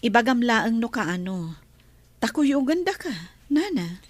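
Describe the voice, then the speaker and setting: A woman playing a gentle, consoling wife in a radio drama, speaking in a soothing, hushed way. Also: fairly high pitch, big pitch swings, measured pace, no echo. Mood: concerned, gentle. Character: gentle, consoling young madam and wife, Olivia. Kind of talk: radio drama dialogue in Ilocano.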